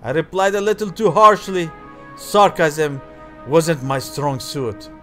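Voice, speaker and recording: Slow, theatrical man reading game text aloud. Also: on the high side, expressive, pushed and bright. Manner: halting read-aloud with theatrical emphasis and offhand asides. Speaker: gaming video host. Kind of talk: let's play, reading visual novel text aloud with side comments. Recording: music behind the voice. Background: game music.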